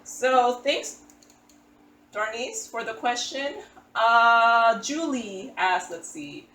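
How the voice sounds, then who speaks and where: A woman's voice: a little high, drawn-out words, fairly bright tone, roomy sound. A woman from the Philippines chatting casually as she talks through her craft setup, self-deprecating with small restarts. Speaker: crafting channel host. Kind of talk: casual voice-over chat while working on a craft. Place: indoors at a table.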